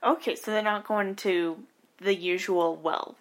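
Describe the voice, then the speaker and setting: A woman's voice: mid-range voice, steady tone, measured pace. A woman co-hosting a casual film podcast, eager and reactive. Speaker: podcast co-host.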